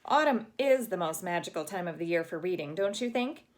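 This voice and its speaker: A woman's voice: lowish voice, expressive, fairly quick, close to an everyday voice. A woman voicing a dramatic, chatty red-haired girl from a storybook who talks in a gushing, flowery, theatrical way with an accent that cannot be placed. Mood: delighted. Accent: unplaceable.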